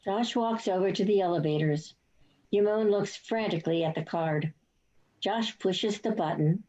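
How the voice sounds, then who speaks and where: A woman's voice: mid-range voice, normal speed, dark tone. Casting: female narrator for stage directions, mid-range voice, scripted read, somewhat dull lo-fi sound. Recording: dull, lo-fi online recording.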